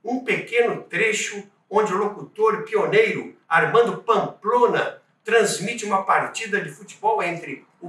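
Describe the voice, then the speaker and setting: High-pitched, slow-talking older Brazilian man. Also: bright, forceful tone. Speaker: host of a radio history channel. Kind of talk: presenter monologue to viewers. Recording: roomy, distant from the mic. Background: music.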